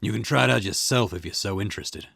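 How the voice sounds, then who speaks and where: A man voicing a gruff, weary chief police inspector in a visual novel, dismissive and sceptical. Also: on the low side, fast talker, fairly bright tone, dry recording, studio quality. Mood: dismissive, irritated.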